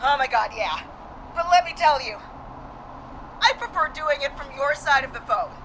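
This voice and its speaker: A woman's voice: high voice, lively intonation, normal speed, fairly bright tone, slight background noise, poor audio. An actress playing a brave but frightened small-town woman dispatcher on the run in a video game. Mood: relieved, determined.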